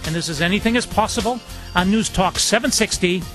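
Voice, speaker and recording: A man's voice: high voice, fairly quick, a bit bright, faint music behind. A man hosting a radio interview show, introducing and questioning his guest with enthusiasm. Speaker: radio talk show host.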